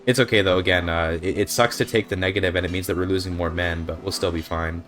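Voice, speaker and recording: Deep-voiced man with a scratchy throat. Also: fast talker, soft background music. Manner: relaxed, explanatory, thinks aloud with fillers. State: slightly scratchy throat after illness. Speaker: speedrunner commentating gameplay. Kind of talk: casual gameplay commentary. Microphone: desk microphone.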